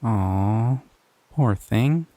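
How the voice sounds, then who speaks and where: A man voicing a shy, gentle male slime creature in an audio roleplay, soft-spoken and hesitant, often trailing off. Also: on the low side, expressive, drawn-out words, no echo. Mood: gentle, curious.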